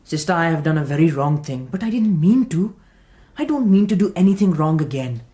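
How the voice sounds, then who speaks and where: An earnest young general. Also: female voice, sits low, lively intonation, a little fast, dark tone. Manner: earnest, polite, formal. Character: young male Indian general, earnest, naive. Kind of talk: radio drama acting.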